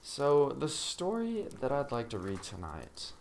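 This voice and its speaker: A soft-spoken man giving a gentle, unhurried, hushed ASMR bedtime story reading. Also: medium pitch, huge pitch swings, noisy background.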